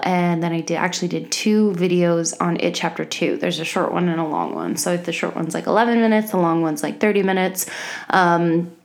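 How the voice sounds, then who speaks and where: A young woman hosting a podcast in casual chat from her home office, sounding a little sick and congested, and talking with lots of ums and likes. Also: on the low side. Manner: chatty, many fillers like um and like. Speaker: podcast host.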